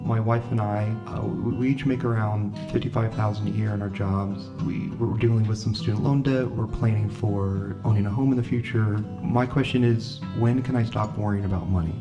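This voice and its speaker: A man's voice: fairly low pitch, steady tone, normal speed, hardly any pauses. An American man aged thirty introducing himself and giving a recorded personal question. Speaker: member of the public. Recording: dull lo-fi clip. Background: plucked guitar music.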